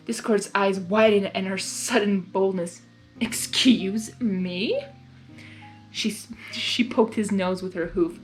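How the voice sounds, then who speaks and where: A woman's voice: mid-range voice, fairly even intonation, measured pace, few pauses, faint music behind. A woman narrating a story expressively as a reader, dropping in casual reactions of her own. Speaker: narrator, fan fiction reader.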